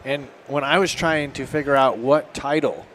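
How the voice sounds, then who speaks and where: A man's voice: medium pitch, moderate pace, fairly bright tone, slight background noise. A man hosting a farm podcast, introducing a guest in an upbeat, conversational way. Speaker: podcast host. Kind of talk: casual podcast interview.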